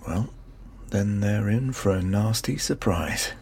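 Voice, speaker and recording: A man's voice: lowish voice, slow, darkish tone, husky, heavily acted. An actor playing a patient, menacing male secret agent in an audio drama, with an ominous manner.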